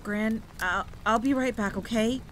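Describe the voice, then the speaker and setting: A woman's voice: high-pitched, moderate pace, fairly bright tone, pitched above the performer's own voice. A woman voicing an anxious young farm girl in an audio story, stammering and pleading breathlessly. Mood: urgent. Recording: clear background noise of rain and thunder.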